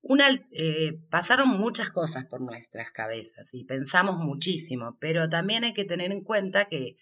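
An Argentine woman answering interview questions as a coordinator, explaining things unscripted and haltingly. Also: lowish voice, sing-song, a bit bright. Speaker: workshop coordinator being interviewed. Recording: slightly dull, lo-fi recording.

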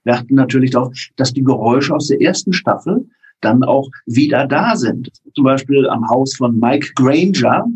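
Emphatic man with a slightly husky voice. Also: medium pitch, expressive, normal speed, darkish tone, poor audio. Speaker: author and radio-drama writer being interviewed. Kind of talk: conversational interview answers with examples.

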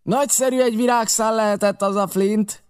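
An eager, naive young sailor with a high, excited voice, as a male reader puts him on. He sounds impressed and easily carried away. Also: smooth, no room sound, clean studio sound, close to an everyday voice. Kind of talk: audiobook character reading.

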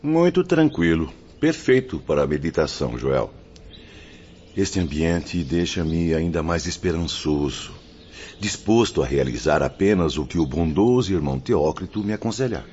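Casting: kindly devout Brazilian spirit, very low voice, formal but richly inflected radio drama delivery. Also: male voice, sing-song, moderate pace, darkish tone. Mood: serene, hopeful. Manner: earnest, formal. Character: serene, devout male spirit, kindly reassuring friend. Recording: dull audio, faint noise.

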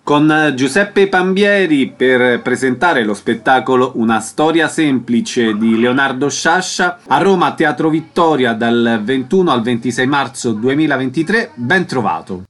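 A man's voice: medium pitch, expressive, a little slow, hardly any pauses, a bit bright. A man hosting an arts radio programme, presenting events and putting questions to guests. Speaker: radio host.

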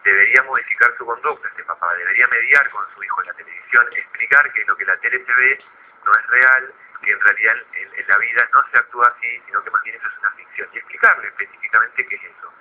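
An Argentine man, a guest psychologist in a radio interview, explaining in a didactic but halting way with repeated words. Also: medium pitch. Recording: phone-like narrow radio line.

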